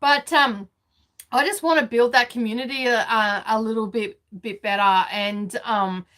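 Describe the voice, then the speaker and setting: A chatty woman, talking to viewers from her sewing studio. Her voice sits a little high, she laughs often, and she fills gaps with easy "um"s and "so, yeah"s. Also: fairly even intonation, moderate pace, fairly bright tone. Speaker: sewing vlog host. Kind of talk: casual live chat.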